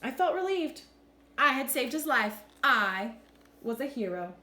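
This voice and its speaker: A woman's voice: high-pitched, unhurried. A woman reading a storybook aloud like a teacher, expressively acting out the lines. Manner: animated, clearly acts out lines. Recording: echoey room with faint background noise.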